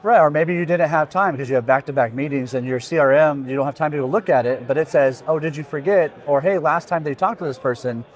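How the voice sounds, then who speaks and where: A man's voice: on the high side, fast talker, hardly any pauses. A man in his forties conducting a casual interview, with informal, rambling phrasing. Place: busy indoor expo hall booth. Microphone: podcast microphone on stand.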